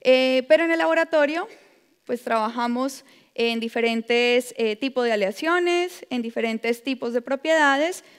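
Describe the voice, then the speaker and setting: A young adult woman from Colombia with a Colombian Spanish accent, giving a measured conference talk as a predoctoral researcher, with frequent filler sounds. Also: high voice, clean tone. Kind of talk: conference presentation. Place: large lecture hall. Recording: long hall reverb.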